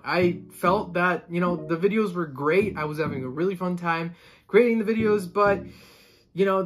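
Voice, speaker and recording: A man's voice: fairly high pitch, fairly bright tone. A Colombian-born young man in his twenties, a saxophonist vlogger, chatting casually to the camera from a room at home and gesturing with both hands. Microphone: camera in front, seated.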